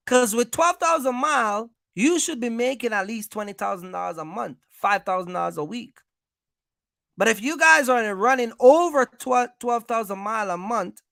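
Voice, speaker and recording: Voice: medium pitch, gravelly, fairly bright tone, dry recording, studio quality. An American livestream host giving informal business advice, speaking emphatically, repeating points and checking in with listeners.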